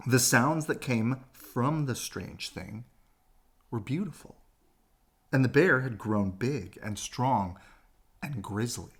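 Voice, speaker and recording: A man reading a children's story aloud as expressive bedtime narration, with dramatic, drawn-out phrasing. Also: mid-range voice.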